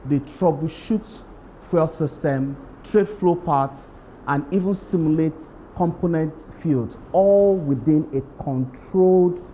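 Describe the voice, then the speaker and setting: A man explains technical equipment. He speaks slowly in a measured, recited way, with faint ambient noise around him. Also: a little high, dark tone, rough recording.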